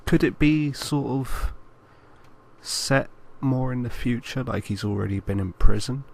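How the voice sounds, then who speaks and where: A man's voice: mid-range voice, unhurried, creaky, a little mellow. A man co-hosting a casual film podcast, speaking hesitantly and stumbling over his words at times. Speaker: podcast co-host. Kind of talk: casual banter about films.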